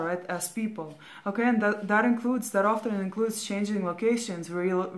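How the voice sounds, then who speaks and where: A woman in her thirties, originally from Latvia, talks through spiritual advice in a loose, rambling monologue at a middling pitch. She keeps checking in with her listener, tacking "right?" or "okay?" onto almost every phrase and restarting sentences along the way. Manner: rambling, with many fillers and restarts. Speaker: spiritual vlogger.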